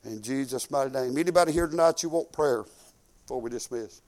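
A man in his fifties with a Southern US accent preaching informally, folksy and conversational, swinging emphatically between quiet asides and loud declarations. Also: mid-range voice, brisk. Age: 50s. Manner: folksy and conversational, peppered with praise exclamations. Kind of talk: informal sermon with personal anecdotes and prayer.

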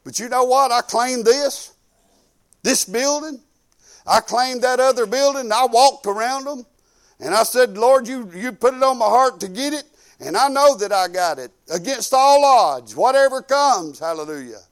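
A man in his fifties with a Southern US accent preaching informally, folksy and conversational, swinging emphatically between quiet asides and loud declarations. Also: very high-pitched, lively intonation, moderate pace. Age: 50s. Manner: folksy and conversational, peppered with praise exclamations. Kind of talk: informal sermon with personal anecdotes and prayer.

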